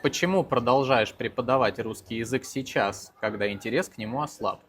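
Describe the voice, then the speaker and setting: A man acting as interviewer, reading out short questions in a plain, even way. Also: mid-range voice, fairly quick, slight background noise.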